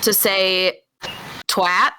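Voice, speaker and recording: A woman's voice: medium pitch, fairly even intonation, extremely slow, sharp tone, a little hiss or hum, low-quality recording. A woman on a casual podcast who gives her opinions bluntly and matter-of-factly. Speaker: podcast co-host. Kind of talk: casual chat.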